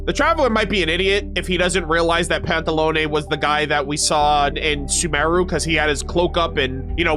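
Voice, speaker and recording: A young woman giving casual, excitable, slangy commentary to a chat as a game streamer. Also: low-pitched. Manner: excitable, slangy, full of likes. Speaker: streamer reacting to game voice lines.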